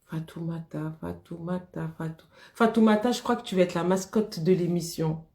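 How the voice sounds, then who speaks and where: A woman's voice: on the low side, normal speed, creaky, mellow, reverberant. A woman hosting a live stream, chatting casually with viewers, laughing often and greeting them by name.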